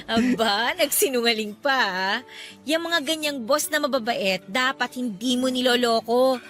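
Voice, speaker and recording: An affectionate, trusting young girlfriend in a radio drama. Her voice is clearly high and conversational, easily tipping into questions, over soft background music. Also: expressive, measured pace. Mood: light, teasing. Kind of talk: Tagalog radio drama acting.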